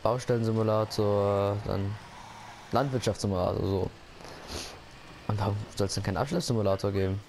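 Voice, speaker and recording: A man chats loosely over his gameplay, rambling and joking to himself. His voice is low with a gravelly fry. Steady noise runs under the recording. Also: moderate pace, pauses often. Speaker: gaming YouTuber. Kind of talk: casual let's-play commentary.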